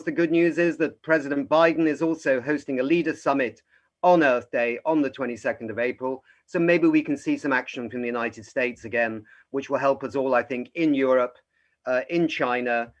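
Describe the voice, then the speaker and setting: A man's voice: mid-range voice, a little fast. A man giving a short persuasive address as a guest speaker on an online call. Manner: steady, persuasive, lightly hesitant. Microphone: video call.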